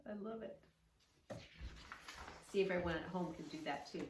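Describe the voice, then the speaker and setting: A woman reading a story aloud to children and teaching yoga poses with gentle, encouraging praise. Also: lowish voice, moderate pace, slight background noise. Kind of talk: read-along story with yoga instructions for children. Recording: roomy, echoing, distant microphone.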